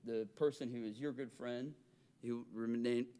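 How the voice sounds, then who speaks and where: A man with a Southern accent from Alabama, speaking at a city council meeting in a halting way, with restarts and fillers. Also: medium pitch, steady tone, warm tone, clean tone. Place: council chamber.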